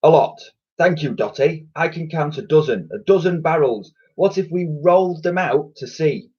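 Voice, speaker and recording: Male reader as a bright eager girl; lively, quick to speak up. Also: a little high, husky, highs cut off, voice pushed up. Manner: eager, keen to be heard. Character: brave clever young girl, eager.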